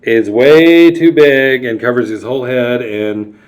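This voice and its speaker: A man's voice: medium pitch, steady tone, a little slow. A man reading a picture book to young children, explaining the pictures and asking them questions as he goes. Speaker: children's storytime reader. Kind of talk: storybook read-aloud with explanatory asides to children.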